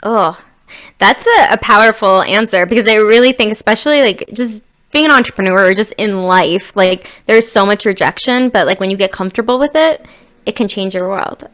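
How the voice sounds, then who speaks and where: A woman's voice: medium pitch, moderate pace, a bit bright. A woman hosting an interview, bubbly and affirming, laughing often between questions. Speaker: interview host. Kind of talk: casual interview chat.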